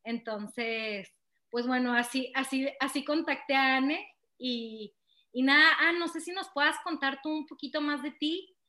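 A woman's voice: high-pitched, measured pace, bright, forceful tone. A young woman from Mexico hosting a podcast interview, chatting and telling stories with lots of fillers. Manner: chatty, storytelling with many fillers. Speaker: podcast host. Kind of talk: casual interview chat.

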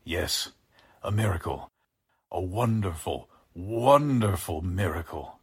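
An actor playing a courtly but menacing mad scientist in a 1940s-style radio drama, with formal, pompous, halting delivery. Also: male voice, low-pitched, animated, husky, full character voice. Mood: falsely reassuring.

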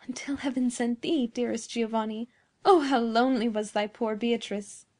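Woman playing a plaintive, high-voiced young maiden. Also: fairly even intonation, moderate pace, lightly acted. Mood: pleading sorrow. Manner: archaic, plaintive, gentle. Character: tender, sorrowful young woman, lonely and pleading. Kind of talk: dramatic storybook reading.

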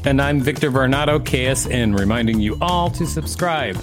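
A man's voice: medium pitch, lively intonation, moderate pace, over music. A man co-hosting a podcast, joking dryly in banter and reading an article aloud. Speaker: podcast co-host.

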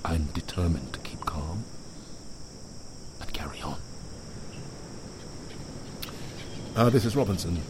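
An actor playing a weary, frightened stranded man who keeps his composure, halting and stammering through a radio log in an audio drama. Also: sits low, lively intonation, background noise. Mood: sombre, formal. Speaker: narrator-survivor sending radio log.

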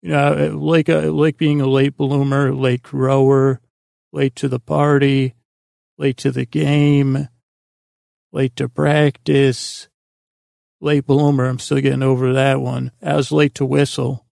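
Very gravelly, creaky-voiced man rambling. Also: mid-range voice, flat delivery, a little mellow, no echo, clean studio sound. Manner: meandering, halting, self-deprecating, goes off on tangents. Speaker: podcast host. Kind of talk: rambling sleep podcast monologue.

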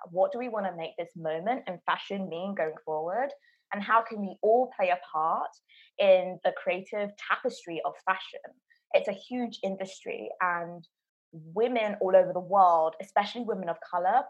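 A woman hosts a casual podcast and talks with effusive enthusiasm. Her voice is slightly husky. The recording sounds a little dull and lo-fi. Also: lowish voice. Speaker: podcast host.

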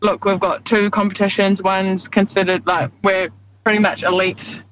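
A woman's voice: medium pitch, level tone, moderate pace, a bit bright, rough recording. Casting: young woman from New Zealand, easygoing and chatty, mid-range voice, faint hiss behind. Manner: laid-back, chatty, laughs often, says "reckon" and "like". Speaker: rugby league player, team captain. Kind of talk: casual interview chat.

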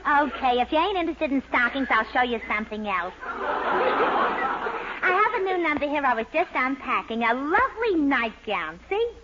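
An actress playing a brassy shopkeeper who fakes a French accent and slips into street talk, calling customers dearie, in 1940s radio sitcom acting. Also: female voice, high voice, very animated, moderate pace, full character voice. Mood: sly. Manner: street-talking, calls customers dearie, drawling. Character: brassy middle-aged woman shopkeeper faking a French accent. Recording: dull old radio broadcast, heavy hiss, loud studio audience.

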